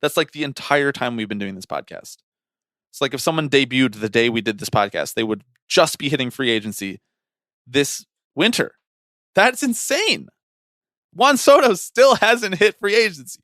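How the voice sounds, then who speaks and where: A man's voice: medium pitch, lively intonation, moderate pace, sharp tone, no echo. Young man talking sports, dry close sound. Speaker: podcast co-host. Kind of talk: casual sports discussion.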